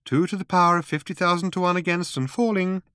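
A man's voice: high voice, steady tone, quick pace, pushed and bright, smooth, dry recording, studio quality, voice pushed up. A man voicing a pleasant, sensible female spaceship announcer with a reassuring stewardess-like manner in an audiobook. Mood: calm, pleasant.

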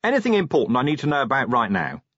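Police commander played by a man: world-weary, blunt, sardonic authority, lively intonation, dry close sound. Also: medium pitch, racing, breathy edge, no room sound, muffled recording, pitched above the performer's own voice, heavily acted. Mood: sardonic, irritated. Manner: sardonic, commanding, plain-spoken.

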